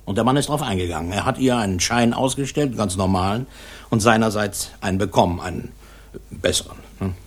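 Chatty plain-spoken uncle in a radio crime play, lowish raspy voice, colloquial. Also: male voice, fairly quick. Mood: intrigued recounting. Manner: anecdotal, colloquial storytelling. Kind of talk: crime radio drama acting.

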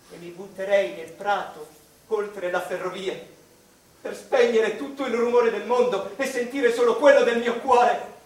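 An actor playing a melancholy male mathematician confiding in his mother, in a wistful, halting, theatrical monologue. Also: high voice. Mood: yearning. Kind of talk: dramatic stage monologue. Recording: echoey room, distant mic, music behind.

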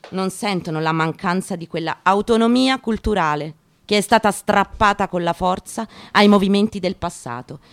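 A woman reading literary prose dramatically, in deliberate, emphatic short phrases. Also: sits low. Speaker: stage actress reading from a book. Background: music behind the voice.

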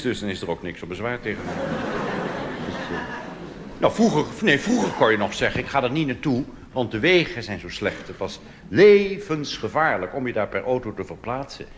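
Dutch cabaret comic with dry asides. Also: male voice, on the low side, a little hiss or hum. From Netherlands. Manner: dry, conspiratorial asides with chuckles. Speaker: cabaret performer. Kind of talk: live cabaret monologue.

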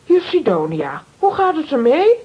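An actress playing an excitable, worried but determined aunt in a children's audio drama. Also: female voice, high-pitched, normal speed, dull audio. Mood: concerned, determined.

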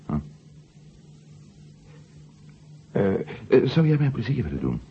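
A man acting in a 1970 radio drama as a discreet, probing family friend, speaking hesitantly with pauses, on an old recording. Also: mid-range voice, sing-song, lo-fi sound, a little hiss or hum. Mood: hesitant, confiding.

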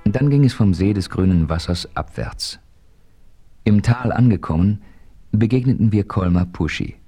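A man playing the calm, authoritative hero who narrates in the first person, in a radio play. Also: on the low side, normal speed, breathy edge, faint music behind.

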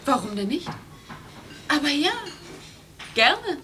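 An actress playing a shaken but polite crash victim in a German radio play. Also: female voice, sits high, very animated, slow, sharp tone, faint music behind, exaggerated voice. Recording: faintly noisy old cassette radio recording.

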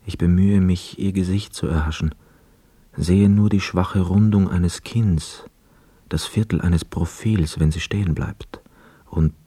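An actor playing a lonely, melancholy young returned soldier in a radio play, quiet, measured and husky, often narrating in the first person. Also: male voice, sits low, no echo.

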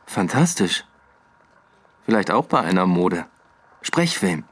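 An actor playing a dry, skeptical police inspector in a radio drama, with an understated delivery. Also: male voice, low-pitched, big pitch swings, slow pace, a bit bright, a little hiss or hum, heavily acted. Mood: wry, friendly.

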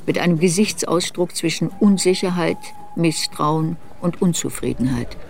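High-voiced reflective memoir narrator. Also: male voice, normal speed, vocal fry, slight background noise. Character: narrator recalling a girl's youth in reflective memoir. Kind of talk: literary radio drama narration. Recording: studio radio drama with music.

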